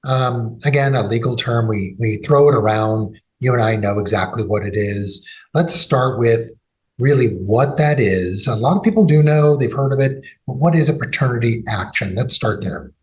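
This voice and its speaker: A man's voice: mid-range voice, normal speed. A man hosting a legal podcast, talking in a loose conversational way with fillers and restarts. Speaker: podcast host and law firm executive.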